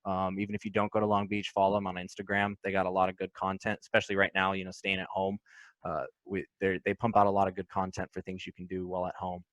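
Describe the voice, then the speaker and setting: A young man with a lowish, fairly flat voice talks earnestly and at length on a podcast, friendly and a little rambling. His voice sounds close and dry. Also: fast talker, no echo. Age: 20s. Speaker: podcast co-host. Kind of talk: casual chat.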